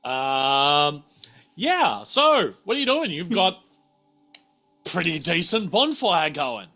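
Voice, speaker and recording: A man's voice: on the high side, sing-song, slow, bright, forceful tone, faint music behind. A man running a tabletop game as game master, explaining and thinking aloud as he improvises.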